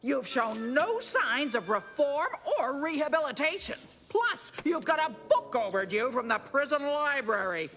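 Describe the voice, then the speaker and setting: A stern cartoon bureaucrat who speaks dismissively. Her voice is a little high and very animated, with music underneath. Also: a little slow, hardly any pauses, heavily acted. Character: stern, dismissive parole board official. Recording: music behind.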